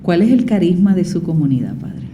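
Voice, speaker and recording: A woman's voice: on the low side, sing-song, brisk, background noise. A woman hosting a radio interview, talking in a conversational way with repetitions and fillers. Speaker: radio host.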